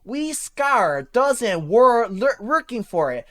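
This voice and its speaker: A reader voicing a stern young male gamer, the older brother, who speaks slow, clipped, broken English. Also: medium pitch, very animated, voice pushed up. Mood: earnest.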